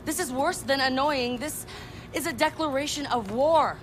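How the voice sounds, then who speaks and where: An actress playing a bold, defiant young hacker activist in a video game, speaking emphatically. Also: female voice, high-pitched, normal speed, soft background music, lightly acted. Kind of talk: video game cutscene acting.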